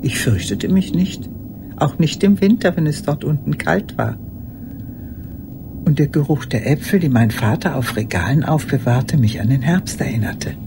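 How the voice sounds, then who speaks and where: An actress playing a lonely old woman who reminisces in measured, reflective phrases in an intimate radio play monologue. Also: low-pitched, animated, noisy background. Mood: wistful, remembering. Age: older. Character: old woman recalling her childhood and lost love, lonely.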